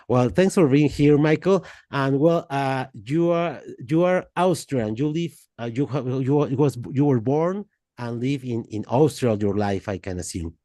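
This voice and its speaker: A man from Mexico, hosting a podcast interview in a halting way, full of fillers and restarts. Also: mid-range voice, warm tone, dry recording. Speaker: podcast host. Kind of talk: casual interview chat.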